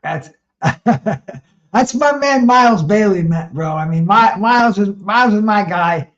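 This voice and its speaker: A man's voice: high voice, lively intonation, a little slow, a bit bright, lo-fi sound. A man hosting a boxing chat in a casual way, often repeating words as he starts a sentence. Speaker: show host.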